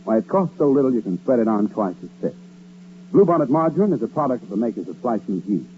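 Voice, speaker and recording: An American man reading a 1940s radio advertisement with an emphatic, measured sales delivery. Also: mid-range voice, sing-song. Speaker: commercial announcer. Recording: tinny, noisy old radio recording.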